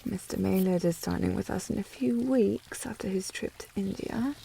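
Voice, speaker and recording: A woman's voice: medium pitch, moderate pace, gravelly, warm tone, a little hiss or hum, low-quality recording. Snooty young lady, acted by a woman. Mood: snooty, complaining. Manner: haughty, snooty, clipped formal phrasing. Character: snobbish, teasing young upper-class lady, secretly fond. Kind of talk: scripted ASMR historical romance roleplay.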